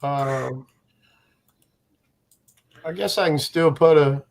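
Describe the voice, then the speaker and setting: A man's voice: on the high side, slow, creaky. A man chatting on a livestream, hesitant and trailing off mid-sentence. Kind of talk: casual livestream chat.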